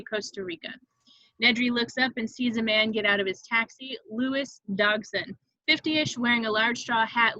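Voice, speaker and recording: A woman's voice: on the high side, bright, forceful tone, no room sound, dull audio. A woman reading stage directions as scripted narration for a table read.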